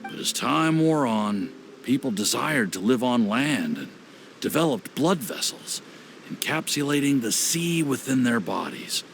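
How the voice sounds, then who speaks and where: A slow, gravelly dreamer. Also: male voice, medium pitch. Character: calm, dreamy man who is at peace with the flood. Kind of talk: game cutscene dub. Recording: game score underneath.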